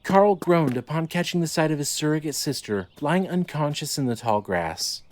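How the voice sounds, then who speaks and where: A man reading scripted dramatic fiction as narrator, in a measured, descriptive storytelling manner. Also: a little high, lively intonation, darkish tone, slight background noise.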